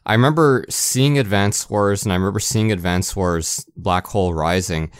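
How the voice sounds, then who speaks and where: Low-pitched man co-hosting, close and dry. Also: normal speed, clear, resonant tone, dry recording. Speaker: podcast co-host. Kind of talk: casual podcast chat about video games.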